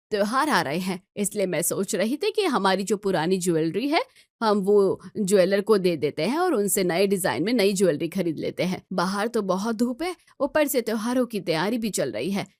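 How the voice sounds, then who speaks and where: A woman voicing an older, practical mother-in-law in a family tale, measured and slightly hesitant as she explains. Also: medium pitch, animated, darkish tone, dry recording, studio quality, natural voice. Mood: matter-of-fact.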